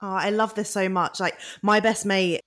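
A woman's voice: mid-range voice, steady tone, moderate pace, fairly bright tone. A woman hosting a podcast, bubbly and effusive as she chats with guests. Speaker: podcast host. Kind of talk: casual chat.

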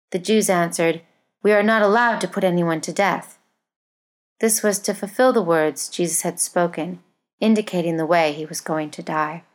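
A woman reading a Bible narrative aloud in a measured, formal and solemn style. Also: on the low side. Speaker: scripture reader.

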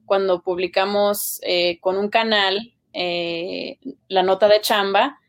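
A woman's voice: mid-range voice, fairly even intonation, slow, clean tone. A woman hosting a podcast interview, who came as an immigrant when young, speaking with frequent hesitations. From Latin America. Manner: hesitant, many fillers. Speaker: podcast host. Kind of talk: casual interview.

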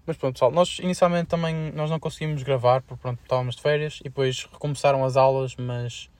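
A young man with a European Portuguese accent chatting informally as a podcast co-host, with slangy, halting delivery. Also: mid-range voice, steady tone, darkish tone, dry recording. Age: young adult. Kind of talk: casual student podcast chat.

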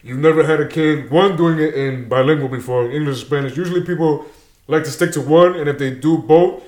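Fast-talking young man, echoey room. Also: a little high. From Dominican and Peruvian heritage, New Jersey. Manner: energetic, slangy, mixes Spanish words. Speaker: guest, show creator. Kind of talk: casual interview chat.

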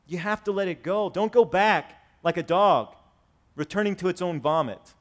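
Middle-aged preacher, loud and soft by turns. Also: male voice, sits high, normal speed. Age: 40s. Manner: swings from loud preaching to soft asides, conversational. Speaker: pastor. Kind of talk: sermon. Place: church sanctuary with congregation.

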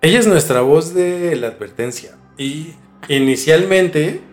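A man's voice: a little high, expressive, unhurried, faint music behind. A man hosting a mystery podcast in a conversational presenting style. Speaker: podcast host.

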